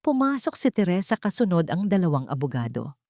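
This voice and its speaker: A woman reading a scripted story as an audiobook narrator, with a measured, dramatic delivery. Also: lowish voice, very animated, dark tone, no echo, studio quality.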